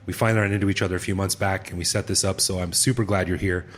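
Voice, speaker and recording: A man's voice: low-pitched, fairly even intonation, rapid-fire. A middle-aged man hosting a podcast, chatty and laid-back, laughing easily with an old friend. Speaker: podcast host. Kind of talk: casual interview.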